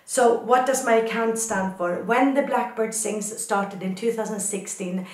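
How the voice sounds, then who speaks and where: A woman's voice: fairly high pitch, unhurried, roomy sound. A woman from Sweden, a photographer, answering questions in a reflective personal talk with thoughtful, poetic phrasing.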